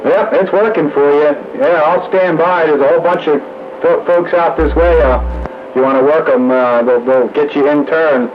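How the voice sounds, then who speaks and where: A man's voice: on the high side, steady tone, moderate pace. A man chatting casually over CB radio in folksy operator lingo, running a check-in net. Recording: muffled, noisy CB radio audio.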